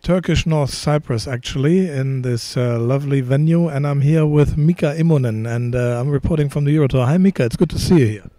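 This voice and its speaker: A middle-aged man interviewing a player, friendly and halting, with many ums. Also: mid-range voice, warm tone, no echo. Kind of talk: casual interview questions.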